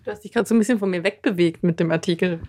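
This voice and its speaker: A woman's voice: on the low side, rapid-fire, noisy background. A woman asking short questions as an interviewer in a casual chat.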